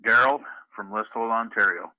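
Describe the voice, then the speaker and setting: A man's voice: fairly low pitch, normal speed, pushed and bright, dry recording, poor audio. A man checking in over a CB radio transmission, giving clipped call signs.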